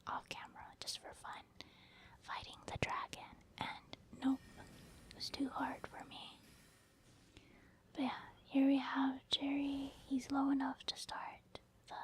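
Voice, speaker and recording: Female ASMR game commentator: high-pitched whisper, casual explanatory delivery. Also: a little mellow. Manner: casual, explanatory, thinking aloud. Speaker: gaming ASMR video creator.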